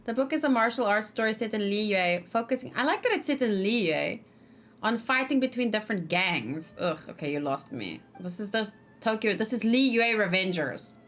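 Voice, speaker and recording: A woman doing casual let's play commentary, chatty and expressive, thinking out loud and reading game text aloud. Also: mid-range voice, moderate pace, faint music behind.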